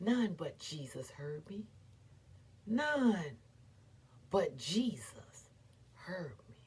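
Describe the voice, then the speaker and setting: A woman giving a dramatic, theatrical recitation as an impassioned, defiant, weary but proud orator, with emphatic repetition and long pauses. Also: fairly low pitch, sing-song, a little mellow, breathy edge. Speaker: performer reciting a historical speech.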